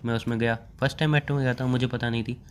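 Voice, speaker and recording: A young man in his twenties argues in a casual, joking way and sounds playfully exasperated. He talks fast and rattles on at a brisk clip. His pitch sits in the middle range, with a faint hiss behind him. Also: fairly even intonation. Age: 20s. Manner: argumentative, quick-talking, playfully exasperated. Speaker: boyfriend in a comic prank chat.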